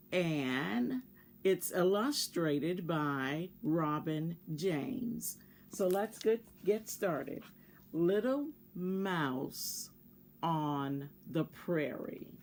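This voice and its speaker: A woman reading a picture book aloud for children in a measured way, pausing to address young listeners. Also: lowish voice, darkish tone, slight background noise. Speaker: volunteer children's story reader.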